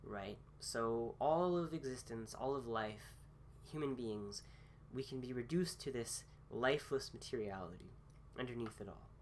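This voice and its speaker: A man at middling pitch talks slowly through a casual philosophy talk, his voice a bit rough from a cold he is getting over. He hesitates often, filling gaps with ums and starting phrases twice. A faint hum sits behind him. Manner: slow, hesitant, many fillers and restarts. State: recovering from a cold. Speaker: host.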